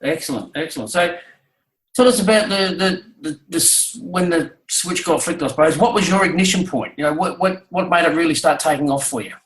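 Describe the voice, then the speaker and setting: Higher-voiced male interviewer in an echoey room. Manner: informal, halting phrasing, affirming. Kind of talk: conversational interviewing.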